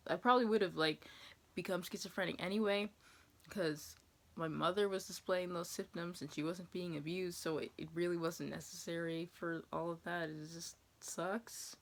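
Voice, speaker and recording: Creaky low-voiced young American woman. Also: fairly even intonation, normal speed. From New England, USA. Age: 20s. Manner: rambling, hesitant, thinking aloud. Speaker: vlogger answering viewer questions. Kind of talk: casual question-and-answer chat, reading questions aloud.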